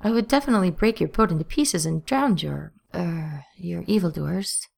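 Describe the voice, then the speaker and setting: A woman's voice: lowish voice, moderate pace, vocal fry, darkish tone. A woman voicing a fussy male angel in dove form, prim and stammering. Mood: flustered.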